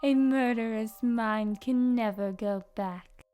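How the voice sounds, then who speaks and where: A woman voicing a cold, riddling witch who speaks prophecy in a measured chant. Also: on the high side, mellow, clear, resonant tone, soft background music. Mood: ominous. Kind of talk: fan audio drama acting.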